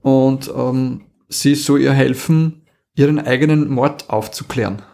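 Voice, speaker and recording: A man's voice: mid-range voice, measured pace, creaky, warm tone, slight background noise. A man with an Austrian accent, co-hosting a podcast and reviewing in casual chat, amused and colloquial, often repeating words for emphasis.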